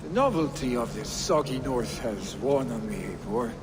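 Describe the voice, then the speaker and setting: An actor playing a brash, carefree young Viking warrior in a video game cutscene, boastful and defiant. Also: male voice, mid-range voice, lively intonation, moderate pace, close to an everyday voice. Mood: evasive. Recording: noisy game ambience behind dialogue.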